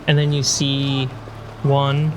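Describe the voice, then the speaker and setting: A man narrating a tabletop role-playing game as game master, describing scenes in short phrases with pauses. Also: medium pitch, monotone, background noise. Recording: prominent music bed behind voice. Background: background music score.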